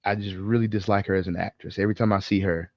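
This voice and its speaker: A man co-hosting a casual podcast, speaking in an opinionated, emphatic way with swearing and halting pauses. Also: lowish voice, steady tone, no echo, lo-fi sound. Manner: profane, emphatic, halting with pauses mid-thought. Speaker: podcast co-host. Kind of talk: casual film discussion, opinionated ranting.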